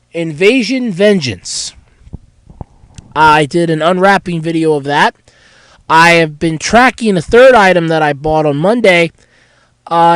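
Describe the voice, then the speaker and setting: Hoarse man with a cold, rambling. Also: sits high, no room sound, low-quality recording. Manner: rambling, candid and chatty. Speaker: podcast host. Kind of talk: casual solo podcast chat.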